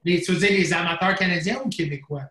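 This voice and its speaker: A man's voice: high-pitched, fast, bright, forceful tone, roomy sound. A man, a coach talking in a casual podcast conversation, rambling and reflective and slipping in English phrases. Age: 40s. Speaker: guest, bodybuilding coach.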